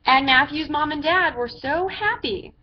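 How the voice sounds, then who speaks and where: Female storyteller, high-pitched, theatrical read-aloud for kids; muffled, noisy home-grade recording. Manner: theatrical, drawn-out phrases with emphatic character voices. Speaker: storyteller reading to children. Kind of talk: animated picture-book read-aloud. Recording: dull lo-fi sound with background noise.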